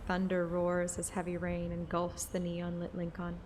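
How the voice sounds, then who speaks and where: A woman narrating a scripted present-tense story in a measured, descriptive way. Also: fairly low pitch, completely flat delivery, noisy background. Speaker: audiobook narrator and streamer. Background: music.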